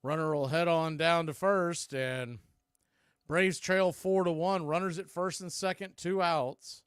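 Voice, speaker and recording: A man's voice: fairly high pitch, steady tone, unhurried, smooth, no room sound, studio quality. A man working as a baseball radio announcer, calling the game live in short, clipped phrases. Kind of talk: live baseball play-by-play commentary.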